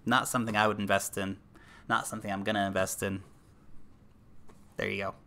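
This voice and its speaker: Bright-voiced man chatting casually with viewers. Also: lowish voice, little pitch movement, a little fast, gravelly. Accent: American. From New Zealand. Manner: chatty, addresses viewers as guys, thinks aloud with fillers. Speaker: livestream host. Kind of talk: casual livestream chat reading viewer comments.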